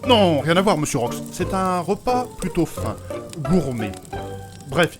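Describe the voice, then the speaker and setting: A man playing a reserved, polite and long-suffering scholar in a comic fantasy audio drama, formal, hesitant and a little exasperated. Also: a little high.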